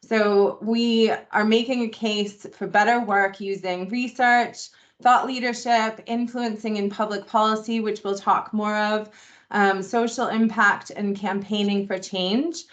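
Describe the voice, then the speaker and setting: A middle-aged woman with a Boston accent presents a membership induction over a video call. Her delivery is friendly and conversational, with steady ums between points. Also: medium pitch, a little slow, muffled recording. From Boston, USA. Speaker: membership engagement manager presenting a webinar. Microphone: video call microphone.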